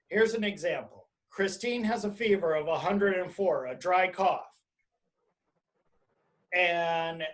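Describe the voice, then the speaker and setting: A man giving an explanatory webinar presentation as an attorney, speaking deliberately and emphatically in short phrases over a video call. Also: high voice, poor audio. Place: indoors, on a video conference call.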